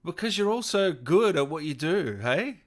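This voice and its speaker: A man's voice: a little high, very animated, moderate pace. A man hosting a gaming livestream, chatting casually and appreciatively with his guest and viewers. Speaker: host of a gaming interview livestream.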